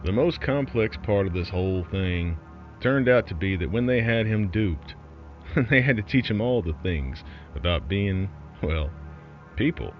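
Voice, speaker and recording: A man plays a folksy renegade broadcaster spinning a horror tale. The low voice swings expressively, sardonic and conspiratorial in tone. It sits over music in a slightly muffled recording. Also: animated, a little slow. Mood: wry, conspiratorial. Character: wry, folksy male underground broadcaster telling eerie tales. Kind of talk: scripted horror storytelling as a broadcast.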